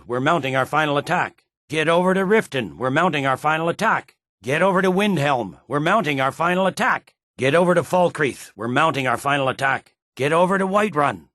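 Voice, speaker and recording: An actor voicing a stern, battle-hardened Imperial general in a fantasy video game, with a curt and commanding manner. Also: male voice, a little high, fairly bright tone, dry recording, clean studio sound, natural voice. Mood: urgent orders. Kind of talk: video game character dialogue.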